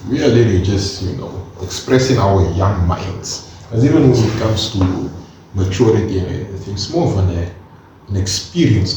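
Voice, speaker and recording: A man's voice: fairly low pitch, slight background noise. A man of twenty-one talking earnestly in casual chat as a co-host, slipping in slang as he explains his point. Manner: earnest, animated, peppers talk with slang. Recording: echoey room from a distance, dull sound.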